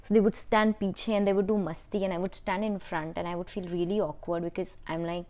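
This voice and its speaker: Female vlogger, middle-aged, Indian accent, lowish pitch; candid personal delivery, halting, with emphatic repeats. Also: level tone, mellow. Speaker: vlogger answering viewer questions.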